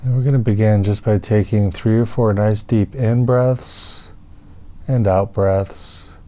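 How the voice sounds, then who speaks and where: Low-voiced man guiding a meditation. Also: steady tone. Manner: soothing, unhurried, with pauses between phrases. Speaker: meditation teacher. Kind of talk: guided meditation instruction. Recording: muffled, with clear background noise.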